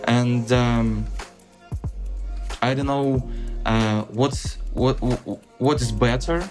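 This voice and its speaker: A young man with a Ukrainian accent, a podcast guest answering in a halting, reflective way with many pauses and restarts. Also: mid-range voice, steady tone, soft background music. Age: young adult.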